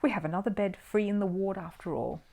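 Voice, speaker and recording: A woman voicing a brisk, distinguished male surgeon in a children's storybook, gruff and clipped, with dry humour. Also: mid-range voice, warm tone, close to an everyday voice. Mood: amused. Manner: brisk, clipped, dryly humorous.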